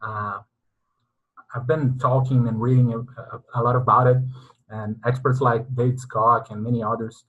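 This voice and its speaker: A man's voice: mid-range voice, level tone, measured pace, fairly bright tone, slightly rough, lo-fi sound. A man hosting an interview, giving formal introductions and asking long questions. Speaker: interviewer, sales consultant.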